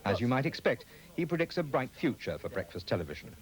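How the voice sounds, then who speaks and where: A man's voice: mid-range voice, very animated, fast, soft background music, low-quality recording. A British man reading scripted narration as a television reporter.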